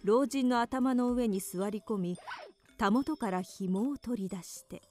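Female narrator for a radio horror drama, higher-pitched, faint music behind. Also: unhurried, warm tone.

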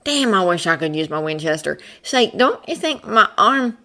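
Woman playing a folksy, animated Texan aeronaut. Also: mid-range voice, normal speed, voice pushed up. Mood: urgent, frank. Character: plain-spoken, polite, argumentative Texan man, an aeronaut.

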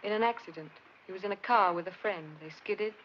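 An actress playing a recently widowed, plain-spoken wife in a 1950s film drama. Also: female voice, medium pitch, brisk, close to an everyday voice. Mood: subdued, puzzled. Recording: muffled, noisy old film soundtrack.

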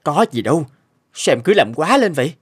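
A man's voice: a little high, very animated, fairly quick, breathy edge, dry recording, clean studio sound, heavily acted. A man voicing an unfaithful young husband in a cartoon, weary and dismissive. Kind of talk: cartoon dubbing.

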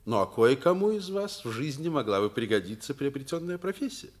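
An actor playing a fatherly, earnest middle-aged school director in a Soviet radio play, explaining in a measured, persuasive way. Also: mid-range voice, big pitch swings, close to an everyday voice.

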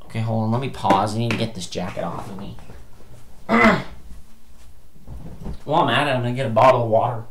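A young man giving angry, swearing commentary while playing a video game, his voice going hoarse. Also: medium pitch, brisk, long pauses, a bit bright, slight background noise. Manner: ranting, swearing, frustrated outbursts. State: losing his voice. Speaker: gameplay streamer.

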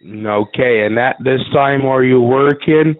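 Man pitching over a tinny phone line. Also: measured pace, a little hiss or hum. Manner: formal, overly reassuring. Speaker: phone scammer. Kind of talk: scripted sales pitch on a call.

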